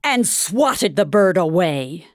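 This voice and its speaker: A woman narrating a futuristic fairy tale in an audio drama, with a measured storybook delivery. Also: on the low side, sing-song, noisy background. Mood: storytelling.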